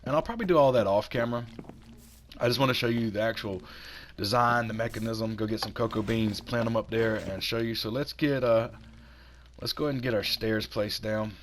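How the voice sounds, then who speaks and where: A man with a cold, hoarse and a little raspy, chatting casually while he builds in a video game and talks himself through each step. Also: on the low side, a little fast, slight background noise. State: hoarse from sinus infection. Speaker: gaming channel host.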